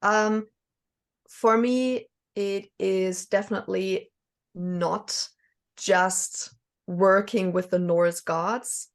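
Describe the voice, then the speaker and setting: A woman with a German accent talks casually as a podcast guest. She pauses often, fills gaps with small sounds and laughs softly. Her voice sits in an ordinary middle pitch. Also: steady tone. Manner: hesitant, many fillers and soft laughs. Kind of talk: casual conversation.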